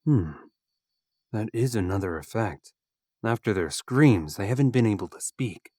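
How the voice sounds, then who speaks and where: Male actor as scheming fantasy bandit: low, measured, matter-of-fact, dry sound. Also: lively intonation, mellow, no room sound. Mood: cool, scheming. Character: calculating male bandit archer, scheming and pragmatic. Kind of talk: audio drama acting.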